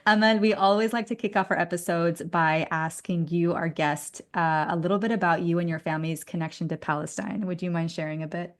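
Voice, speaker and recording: A woman's voice: fairly low pitch, fairly even intonation, brisk, few pauses. A woman hosting a podcast, speaking in the style of show introductions and interview questions. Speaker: podcast host.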